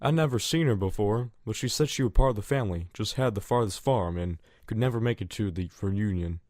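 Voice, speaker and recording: A man's voice: lowish voice, a little fast, darkish tone, no room sound, clean studio sound, close to an everyday voice. A man playing a stubborn, easygoing farm pony with a folksy American Southern drawl in a fan audio drama. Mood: sheepish recounting.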